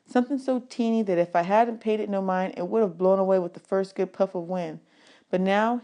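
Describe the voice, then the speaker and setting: Woman doing an expressive kids book read-aloud, medium pitch, storyteller style. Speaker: audiobook reader.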